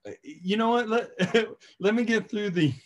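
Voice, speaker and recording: A man's voice: sits high, measured pace. A man hosting a podcast in a casual, chatty style, talking emphatically and often checking in with "right?" and "you know". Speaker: podcast host.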